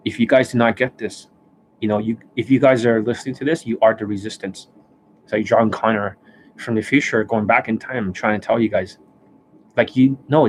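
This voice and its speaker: A man's voice: lowish voice, slight background noise, rough recording. Ranting man with a tired voice. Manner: blunt, ranting, swears often. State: losing his voice, coughing. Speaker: dating coach. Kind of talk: livestream monologue. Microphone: desk microphone.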